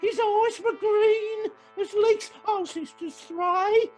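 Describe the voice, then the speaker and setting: Radio Shakespeare: overwrought mourning maiden, piercingly high, very slow declaiming, music underneath. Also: male voice, fairly even intonation. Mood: tragic lament. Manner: drawn-out mock-tragic declaiming. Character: amateur player as maiden Thisbe, shrill, overwrought, mourning.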